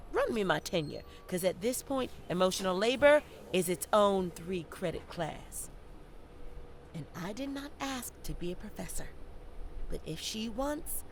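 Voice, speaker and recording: An actress playing a proud, sharp-tongued, working-class Jamaican college student, fed up and sardonic, in a theatrical audio-play monologue. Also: female voice, medium pitch, a little hiss or hum. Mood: exasperated, boastful. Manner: sardonic, animated, theatrical storytelling.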